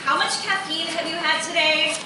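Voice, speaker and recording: A woman's voice: very high-pitched, fairly even intonation, normal speed. A woman playing a nosy, teasing college friend with a wry manner in a live radio theatre play. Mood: curious, wry. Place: live stage performance in echoey room. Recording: very echoey hall, distant mic, noisy.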